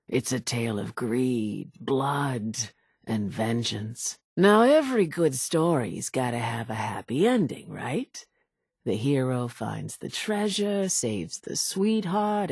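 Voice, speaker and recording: A woman's voice: a bass voice, darkish tone, slightly rough, no echo, studio quality, exaggerated voice. An actress playing an older island woman in a game, a folksy, dramatic storyteller who mourns her family and wants vengeance. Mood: dramatic storytelling. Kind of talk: scripted game character dialogue, theatrical storytelling.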